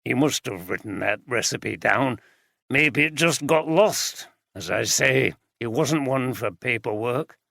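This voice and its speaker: A man's voice: medium pitch, expressive, a little slow, gravelly, a bit bright, dry recording, studio quality, exaggerated voice. A man voicing a scornful, plain-spoken brewery cooper. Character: scornful middle-aged male brewery cooper.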